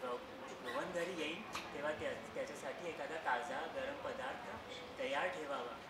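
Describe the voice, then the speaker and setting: A man's voice: a little high, expressive, gravelly. Man playing a strict old grandfather, distant and echoey. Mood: stern, advising. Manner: measured, instructive, admonishing. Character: strict, kindly old grandfather laying down house rules. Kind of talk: stage play acting, reading out a letter. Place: theatre stage in an auditorium. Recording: echoey auditorium, distant mic, loud noise.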